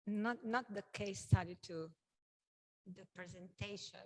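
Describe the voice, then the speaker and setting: A woman with a Croatian accent moderating a conference session and addressing the audience, speaking hesitantly with frequent pauses. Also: mid-range voice, a little mellow.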